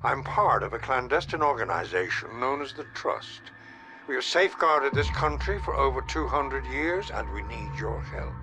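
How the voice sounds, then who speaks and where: A man's voice: medium pitch, lively intonation, normal speed, natural voice. An actor playing a commanding male government spymaster, speaking in a formal, controlled way. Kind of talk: video game cutscene voice acting. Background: game score music.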